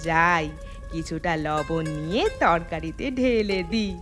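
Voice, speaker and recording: A woman's voice: fairly low pitch, animated, slow. A woman voicing a scheming, jealous young daughter-in-law in a cartoon story, with a theatrical, gloating delivery. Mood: jealous plotting. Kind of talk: Bengali cartoon storytelling voice acting. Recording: background music under voice.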